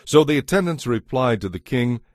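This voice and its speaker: A man narrating a dramatized Bible in a measured, scripted storytelling style. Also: mid-range voice, expressive, darkish tone, dry recording, studio quality.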